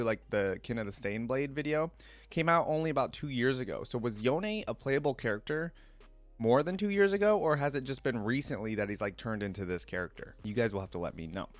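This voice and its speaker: A man giving casual reaction commentary, reading aloud and thinking out loud in an informal, musing way. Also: mid-range voice, no room sound. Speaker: reaction video creator.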